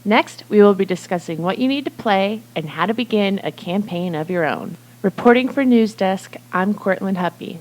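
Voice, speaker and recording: A woman's voice: medium pitch, animated, normal speed, hardly any pauses, darkish tone, rough recording. A young woman with a mid-range voice reports for a student newscast. Her delivery is scripted, in a reporter's style. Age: young adult.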